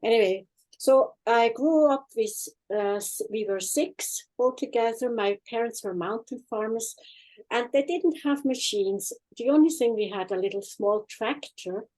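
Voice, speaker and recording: An older woman with a Swiss accent, telling her life story in a halting, plain-spoken way as an interview guest online. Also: on the high side, a little mellow, poor audio. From Switzerland. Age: 60s or older. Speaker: guest, healing practitioner and former nurse. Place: online video chat.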